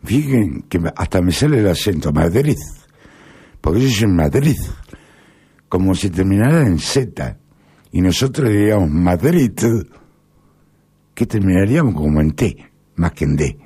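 A man, delivering a rambling political monologue, often pausing to search for words and then stressing points emphatically. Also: low-pitched, sing-song, dark tone, husky.

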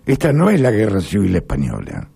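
A middle-aged Argentine man speaking in a rambling, halting monologue with sudden emphatic rises. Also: low-pitched, huge pitch swings, vocal fry, warm tone, breathy edge. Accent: Argentine Spanish. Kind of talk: casual spoken monologue.

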